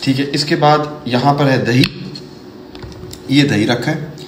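A man's voice: medium pitch, unhurried. Chatty man in an echoey home kitchen. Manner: chatty, explains each step, sprinkles English phrases and Islamic blessings. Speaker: cooking vlogger. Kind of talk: casual cooking vlog to camera. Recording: echoey kitchen with background noise.

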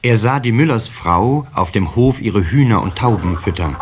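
A man narrating a children's radio play in a measured storyteller's delivery. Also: on the low side, lively intonation. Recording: slightly hissy old cassette recording.

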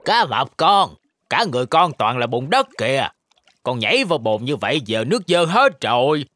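A performer voicing a kindly old grandpa shark in a children's cartoon, animated and coaxing. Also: mid-range voice, moderate pace, no room sound. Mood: dismayed.

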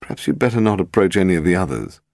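A man voicing a calm, wry, inquisitive gentleman detective in an audiobook. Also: deep voice, very fast, no echo, clean studio sound. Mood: weary probing.

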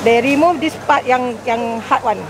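A woman's voice: high-pitched, moderate pace, low-quality recording. A middle-aged market vendor woman, patiently explaining step by step how to clean and cook produce. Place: indoor wet market stall. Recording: market crowd behind.

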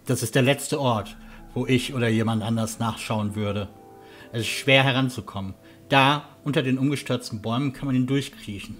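A practical fantasy huntsman, acted by a man, friendly and matter-of-fact. He talks calmly at a middle pitch over faint music. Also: moderate pace, close to an everyday voice. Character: friendly, down-to-earth male hunter guide Jannis. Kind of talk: fan-made fantasy audio drama acting.

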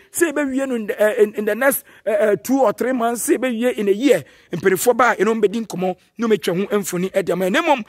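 Ghanaian male field reporter, high husky voice, dry outdoor sound, on-site walkthrough. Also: dry recording. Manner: walking tour, pointing things out. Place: outdoors at a stadium.

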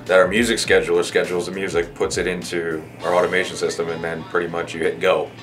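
A man's voice: low-pitched, little pitch movement, quick pace. A man explaining his work in radio programming in a documentary interview. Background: guitar music.

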